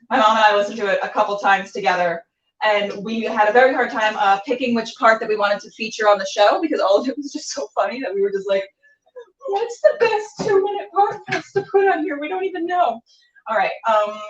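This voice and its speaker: A woman's voice: fairly high pitch, a little hiss or hum. A woman hosting a casual cooking show, chatting playfully and self-deprecatingly in a rambling way as she works. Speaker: host of a cooking and interview web show. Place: home kitchen, cooking at a hot plate. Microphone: phone or camera set back from speaker. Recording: roomy, distant, slightly dull home recording.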